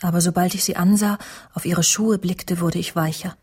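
An actress playing a proud, easily wounded, sharp-tongued career woman who narrates a radio crime drama in a cool, clipped way. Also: on the low side, fairly even intonation, moderate pace, husky. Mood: brooding, self-assured. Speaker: first-person narrator and lead of a radio drama. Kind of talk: radio crime drama acting with inner monologue.

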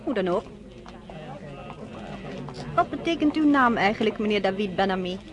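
An actress playing a proud, curious American nurse in a radio drama, talking firmly and with challenge. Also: female voice, medium pitch, lively intonation, normal speed, a crowd in the background, close to an everyday voice.

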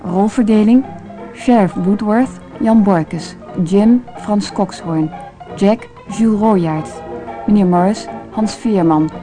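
Slow, measured woman announcer. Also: mid-range voice. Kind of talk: scripted radio credits. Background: music.

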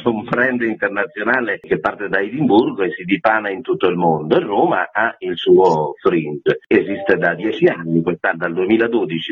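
A man giving measured, emphatic explanations as a radio interview guest over the phone. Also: low-pitched, husky. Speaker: festival artistic director, guest. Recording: very thin phone line on radio.

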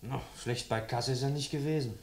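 An actor playing a dutiful, chatty police assistant with coarse humour in a German radio crime play. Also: male voice, mid-range voice, brisk, natural voice. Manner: deferential to his boss, chatty. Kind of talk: mid-century German radio play acting. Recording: noisy vintage radio drama recording.

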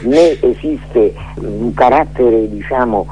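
A man's voice: medium pitch, slow pace, soft background music. An older man speaking as a radio guest in a digressive philosophical monologue, hesitating as he searches for words. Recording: muffled, tinny phone-like radio line.

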